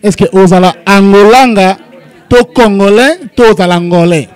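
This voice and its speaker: A male interviewer loudly putting questions to an artist at a press event. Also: sits high, normal speed, clean tone. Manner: loud, emphatic, calling out to the room.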